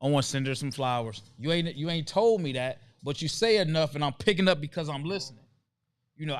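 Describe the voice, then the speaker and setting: A man's voice: a little high, a bit bright, no echo. Laid-back man hosting a live chat. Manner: laid-back, calls out viewers by name, drawn-out emphasis. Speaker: livestream host. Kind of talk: casual livestream chat.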